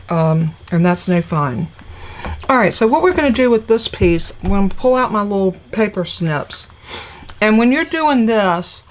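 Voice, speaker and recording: A woman with a scratchy, congested voice, chattily explaining a papercraft project step by step as she works. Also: mid-range voice. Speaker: papercraft demonstrator. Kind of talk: live crafting tutorial.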